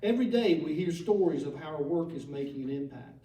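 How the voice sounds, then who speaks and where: A man with a warm, slightly high voice hosts an annual meeting, talking with a few fillers and some light humor. He sounds far from the mic, and the echoey room carries faint ambient noise. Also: expressive, a little fast. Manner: speaks with fillers and mild humor. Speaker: organization director hosting an annual meeting. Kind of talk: formal meeting address.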